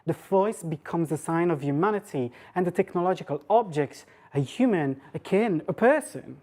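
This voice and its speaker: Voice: mid-range voice, expressive, moderate pace, darkish tone. A woman lecturer giving an academic public talk, mixing scholarly phrasing with informal asides.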